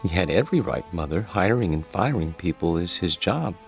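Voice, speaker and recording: A shy, withdrawn son in a radio drama. His voice is fairly low, polite and halting, stumbling over words as he evades, with faint music behind. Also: lively intonation, vocal fry, slightly rough, exaggerated voice. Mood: placating. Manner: hesitant, stammering, polite. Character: meek, awkward, withdrawn grown son, quietly evasive.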